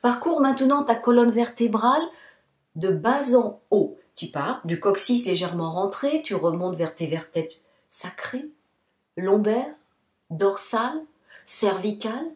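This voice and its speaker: A woman yoga nidra instructor guiding a relaxation meditation in a soothing, deliberate manner, in short phrases with pauses. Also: mid-range voice, expressive, a little mellow. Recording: roomy, echoing sound.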